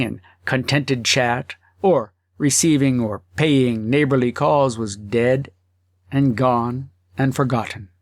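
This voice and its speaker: A man narrating a humorous literary story as an audiobook, phrasing it deliberately and expressively with pauses. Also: medium pitch, no echo, studio quality.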